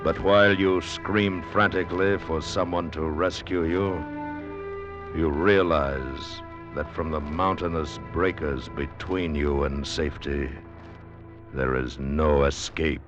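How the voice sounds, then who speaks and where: A man narrating an old radio drama in a measured, grave, literary way. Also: extremely low pitch, fairly even intonation, lo-fi sound. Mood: ominous. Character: grave storyteller narrating a sea disaster tale. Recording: old radio broadcast with music behind.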